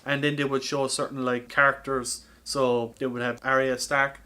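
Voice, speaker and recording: A man hosting a casual comedy podcast, talking in an animated, teasing way with some swearing. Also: medium pitch, normal speed, smooth. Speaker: podcast host.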